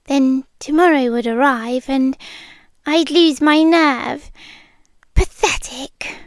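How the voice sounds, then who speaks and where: Woman playing smitten female under-bed creature, British accent, slow hesitant delivery, soft breathy whispers, tender, dry close-mic ASMR. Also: sits high, dry recording. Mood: shy, confessional. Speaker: ASMR roleplay performer. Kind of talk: ASMR roleplay, intimate monologue. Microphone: close microphone, dry.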